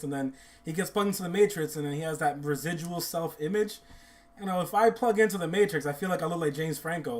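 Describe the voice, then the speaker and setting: A man talking as a podcast guest, telling stories in a playful, rambling, self-mocking way. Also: on the high side. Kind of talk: casual storytelling chat.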